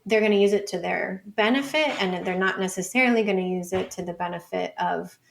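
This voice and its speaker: A woman's voice: fairly low pitch, fairly quick, gravelly, a little hiss or hum. A woman speaking as an expert guest in a conversational interview, explaining informally with lots of hedging words like "like", "sort of" and "you know". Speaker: interview guest, data researcher and book author. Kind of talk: conversational podcast interview answers.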